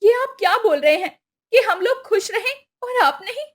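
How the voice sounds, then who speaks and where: Village wife for a moral-tale cartoon, female actor: extremely high-pitched, fretful, scolding, exclamatory. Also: animated, normal speed. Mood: worried. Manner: questioning, exclamatory. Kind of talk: Hindi cartoon moral story voice acting.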